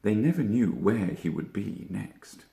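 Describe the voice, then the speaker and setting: Very animated male storyteller, slightly low-pitched. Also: creaky, roomy sound. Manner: expressive, deliberate dramatic phrasing. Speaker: actor reading a children's story. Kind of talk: theatrical storybook narration.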